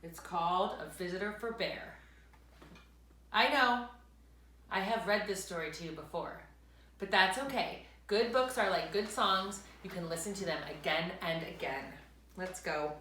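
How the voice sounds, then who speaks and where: A woman storyteller reading aloud expressively to children, with dramatic emphasis, drawn-out words and hushed asides to her listeners. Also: fairly low pitch. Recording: echoey room.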